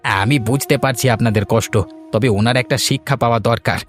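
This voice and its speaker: A man's voice: medium pitch, fast, faint music behind, lightly acted. A man playing a helpful, scheming villager in a cartoon moral story, explaining things with assurance. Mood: confident, plotting.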